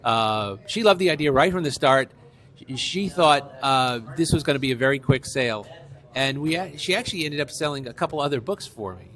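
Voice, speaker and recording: A man's voice: medium pitch, animated, a little fast, fairly bright tone. A middle-aged or older man, an author being interviewed, telling anecdotes conversationally and repeating phrases for emphasis.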